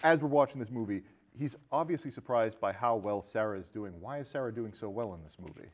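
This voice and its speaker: A man hosting a casual film discussion, riffing in an animated way and acting out reactions. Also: fairly low pitch, brisk. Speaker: show host.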